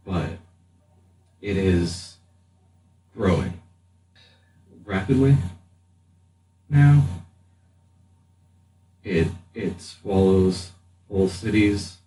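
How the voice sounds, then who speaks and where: A man playing a grave, stoic, haunted warrior in a tabletop game, speaking in a halting, formal monotone. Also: low voice. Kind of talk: tabletop roleplay acting. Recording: roomy distant mic, faint hiss.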